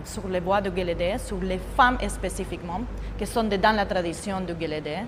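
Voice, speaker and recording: A woman from Argentina speaking French as a second language, a choreographer talking about her project in a halting way, often pausing to search for words. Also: on the low side, long reverb tail, background noise. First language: Spanish. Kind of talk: interview testimony.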